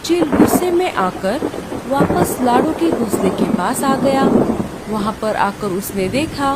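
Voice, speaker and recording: A woman narrates a children's cartoon story in a fairly high voice. Her scripted delivery is very slow, with clear noise in the background. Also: lively intonation.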